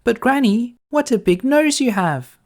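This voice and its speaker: A man puts on a sweet little girl from a storybook, pitched very high. She sounds innocent and polite, with a sing-song swing to her words. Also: expressive, unhurried, a little mellow, full character voice. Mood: wondering. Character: sweet, trusting little girl in a fairy tale.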